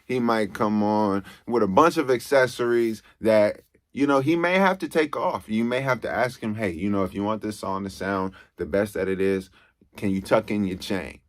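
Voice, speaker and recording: Male studio engineer, deep bassy voice, laid-back teaching tone, dry close sound. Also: no room sound. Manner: relaxed, conversational, frequent "okay?" check-ins. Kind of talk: casual tutorial.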